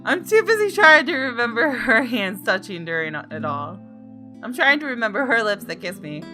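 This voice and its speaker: A woman's voice: a little high, very animated, normal speed. A woman reading the first-person narration of a jaded, guilt-ridden woman in a visual novel, in a dramatic confessional manner. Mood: bitter. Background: guitar game music.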